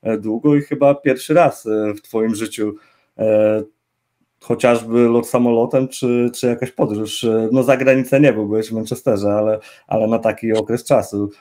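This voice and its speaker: A man's voice: on the low side, fairly even intonation, moderate pace, mellow. A young man hosting an interview over a video call with a headset, asking long, winding questions with fillers. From Poland. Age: young adult. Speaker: interview host. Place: indoor room with gaming chair.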